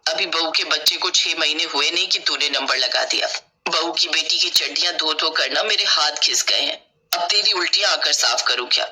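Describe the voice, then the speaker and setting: A woman's voice: deep voice, normal speed, bright, forceful tone, pitched below the performer's own voice. A woman voicing a superstitious older mother who complains bluntly in a family story. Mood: grumbling refusal. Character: grumbling, superstitious older mother with bad knees.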